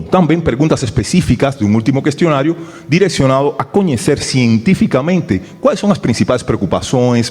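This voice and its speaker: A man's voice: medium pitch, a little mellow. A middle-aged man with a Cuban Spanish accent gives a formal academic presentation at a ceremony, hesitating with many fillers and tag questions. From Cuba. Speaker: research director giving a presentation. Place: large auditorium. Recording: long reverb of a large hall.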